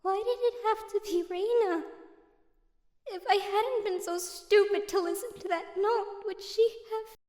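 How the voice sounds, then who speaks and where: Woman voicing a very high, timid, fragile youngster. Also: measured pace, a little mellow, no room sound. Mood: drained grief. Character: timid, fragile, guilt-ridden youngster, anxious and sincere. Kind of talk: audio drama acting.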